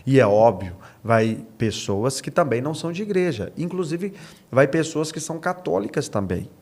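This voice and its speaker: A man's voice: medium pitch, sing-song, moderate pace, a little mellow. A man with a Brazilian accent, a podcast guest explaining conversationally in an assured, emphatic way. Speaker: podcast guest, men's movement member.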